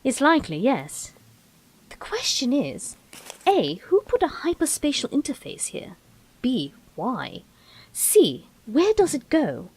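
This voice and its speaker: A woman's voice: high-pitched, huge pitch swings, takes it slowly, darkish tone, breathy edge, full character voice, poor audio. A woman playing an eccentric, breezy, brainy time-travelling Doctor in an audio drama, chatty and whimsical, with quaint turns of phrase. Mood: explaining.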